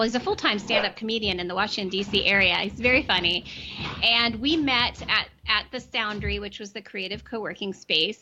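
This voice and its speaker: A woman chatting as a podcast guest, talking easily with many ums and restarts, her voice a bit worn and clearing her throat now and then. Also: medium pitch, noisy background. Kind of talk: casual interview chat.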